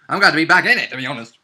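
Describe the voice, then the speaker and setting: A man's voice: a little high, vocal fry, pushed and bright. A man co-hosting as a player, bantering casually about a tabletop game. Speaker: co-host and player.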